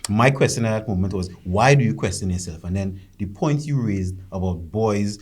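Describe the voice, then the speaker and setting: A man on a discussion panel who asks probing questions in a measured way and keeps checking in with "right?". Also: fairly low pitch, animated.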